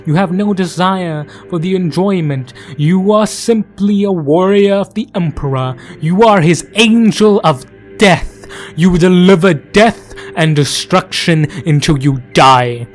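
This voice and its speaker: A man delivering dramatic scripted lore narration, grave and portentous, in archaic oratorical phrasing with dramatic pauses. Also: fairly high pitch. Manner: grave, theatrical, portentous, addressing the listener as traveler. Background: background music.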